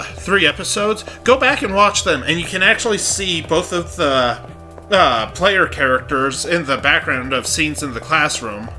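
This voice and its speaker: A man's voice: fairly high pitch, bright, forceful tone. Congested man in joking game commentary. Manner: joking, offhand asides. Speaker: let's play host.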